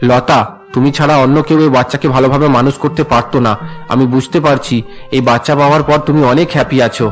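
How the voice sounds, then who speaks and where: A man playing an earnest, fast-talking young husband. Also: medium pitch, fairly even intonation, soft background music, close to an everyday voice. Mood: caring. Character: protective, devoted young husband and adoptive father. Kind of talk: Bengali cartoon melodrama dubbing.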